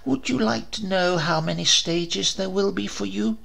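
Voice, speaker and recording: A man's voice: high-pitched, moderate pace, vocal fry, a bit bright, voice pushed up, exaggerated voice. A man voicing a stern, noble old king who scolds and mocks his spoiled son in a storybook reading. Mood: scornful.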